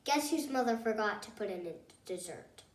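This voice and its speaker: A woman reading a children's picture book aloud as a storyteller, expressively and in short, measured phrases. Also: on the high side, lively intonation. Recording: roomy echo, distant mic.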